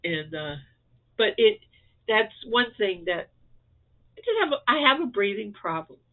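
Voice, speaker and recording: A man's voice: high voice, big pitch swings, measured pace. A man from Florida, stuffed up and losing his voice, giving a chatty, rambling update to viewers from home with frequent chuckles. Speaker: crochet vlogger. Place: at home indoors. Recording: tinny phone-like audio.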